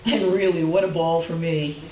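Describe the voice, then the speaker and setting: A woman playing a prim, quietly resentful middle-aged wife in a stage comedy reading, hesitant and mildly sarcastic. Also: sits low, fairly even intonation, normal speed, lightly acted. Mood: dryly resentful. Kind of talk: readers theater stage comedy. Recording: roomy, distant, dull, faintly noisy stage recording.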